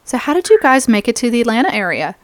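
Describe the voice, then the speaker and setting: A woman from the southern United States hosts the interview. She asks questions in a friendly way, laughs often and slips in soft "mm-hmm" replies. Her voice sounds dry and close. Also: a little high, very animated, quick pace, dry recording. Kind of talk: casual interview.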